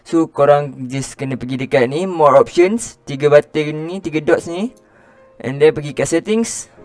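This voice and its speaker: A young Malaysian man with a slightly high voice hosts an online tutorial. He speaks informally and slangily, addressing viewers directly, with music playing behind him. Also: a little slow, a little mellow. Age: young adult. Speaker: YouTube host. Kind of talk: casual tutorial.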